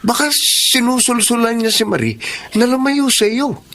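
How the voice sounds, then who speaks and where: A gossipy, suspicious male buddy with a high, very animated voice. He insinuates and stirs up jealousy in exaggerated radio-drama style. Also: moderate pace, full character voice. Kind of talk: Tagalog radio drama acting.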